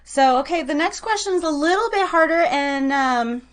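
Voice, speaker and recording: A woman's voice: sits high, animated, normal speed. A woman hosting a live online radio show, chatting casually and playfully with listeners and a guest. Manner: chatty, playful, informal teasing.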